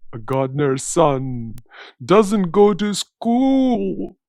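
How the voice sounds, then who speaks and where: A woman voicing a stern, scolding gardener father in a children's story, speaking with slow emphasis. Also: lowish voice, very animated, dark tone, no echo, clean studio sound, pitched below the performer's own voice, full character voice.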